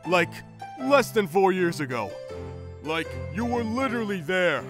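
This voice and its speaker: Aggrieved villager, male actor: high, swinging pitch, reproachful comedy delivery over faint music. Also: very animated, unhurried. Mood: accusing. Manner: incredulous, reproachful. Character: indignant, accusing young villager with old grievances. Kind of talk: comedic parody dub acting.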